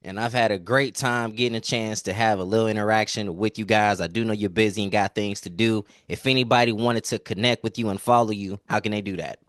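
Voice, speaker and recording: An American man with a fairly low voice hosts a casual interview. He speaks informally with some slang, and the sound is close and dry with no room echo. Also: steady tone, fairly quick, few pauses, a bit bright. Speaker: interviewer, entertainment reporter.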